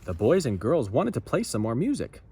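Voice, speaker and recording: A man reading a children's story aloud expressively, with dramatic pauses and asides to listeners. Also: mid-range voice, huge pitch swings, mellow, a little hiss or hum. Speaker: storybook reader.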